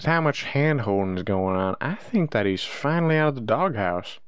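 A man's voice: medium pitch, lively intonation, normal speed, clear, resonant tone, no room sound, lightly acted. A man playing a joking, easygoing werewolf brother in an audio drama, with a playful, teasing manner. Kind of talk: roleplay audio drama acting.

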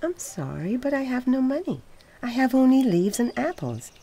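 A woman voicing a gentle, loving female tree in a storybook, speaking softly and tenderly. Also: sits high, big pitch swings, normal speed, voice pushed up, heavily acted. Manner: tender, pleading, soft. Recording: outdoors with birds and insects.

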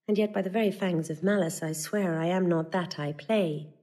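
A woman's voice: on the low side, normal speed, dark tone, lightly acted. An actress playing a saucy, eloquent young woman disguised as a male messenger, in a declamatory, courtly classical Shakespearean style. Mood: earnest, playful. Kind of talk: classical stage acting of Shakespeare.

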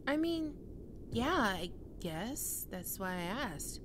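Woman voicing a snarky, flustered catgirl with lively pitch. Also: takes it slowly, noisy background. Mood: shy, hesitant. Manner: hesitant, stammering, teasing, tsundere bluster. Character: flustered, defensive young half-vampire catgirl roommate with a snarky streak. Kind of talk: ASMR roleplay.

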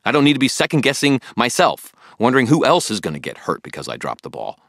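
A man's voice: mid-range voice, wildly swooping pitch, fast talker, a bit bright, no room sound, voice pushed up, exaggerated voice. A hot-tempered wizard detective, played by a male audiobook narrator, stubborn and defiant. His lines are curt and sardonic, delivered close and dry. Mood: angry, defiant. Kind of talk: acted audiobook dialogue.

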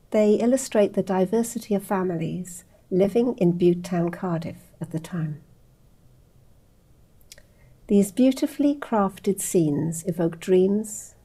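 A middle-aged woman reads a formal introduction in a calm, measured way. Her voice is mellow and a little husky, picked up close on a lapel mic in a small indoor room. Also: mid-range voice. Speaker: archive outreach presenter. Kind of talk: scripted introduction.

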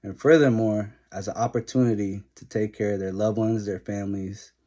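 A man's voice: fairly low pitch, steady tone, normal speed, dark tone. A young man who owns a barbershop, giving straightforward advice to camera in a casual talking-head vlog. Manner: earnest, straightforward advice, list-like delivery. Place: small indoor room at home. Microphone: phone camera held close.

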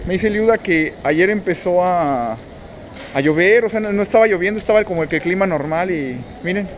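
A man's voice: sits high, brisk. Mexican man chatting on a noisy street. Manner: chatty, asks many questions. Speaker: vlogger. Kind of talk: casual chat while walking. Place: outdoors on a rainy city street and in a shopping arcade. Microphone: action camera held at arm's length.

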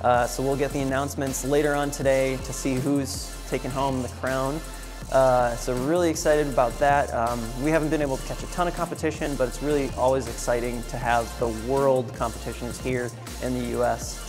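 A man's voice: medium pitch, flat delivery, fairly quick, over music. A young man hosting a trade show walkthrough, talking casually and upbeat with a lot of fillers, in a large convention hall. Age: young adult. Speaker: coffee equipment show host.

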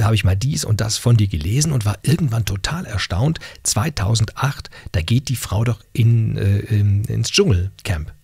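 A man's voice: medium pitch, gravelly, darkish tone, slightly rough, dry recording. A middle-aged man hosting a casual radio interview in a teasing, jovial manner, close on a studio microphone. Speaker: radio talk show host. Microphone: close broadcast microphone on boom arm.

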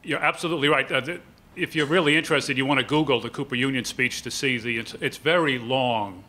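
An older man moderating a public panel discussion, explaining in a measured, hesitant way into a table microphone. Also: mid-range voice, sharp tone. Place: lecture hall, at a panel table.

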